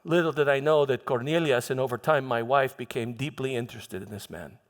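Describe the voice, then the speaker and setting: A man playing a proud, defensive Roman prefect and soldier in a dramatic monologue, halting and repeating words as he tells his story theatrically. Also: mid-range voice, lightly acted. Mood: grave, wary. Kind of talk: first-person church dramatic monologue. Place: church, live dramatization. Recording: reverberant hall.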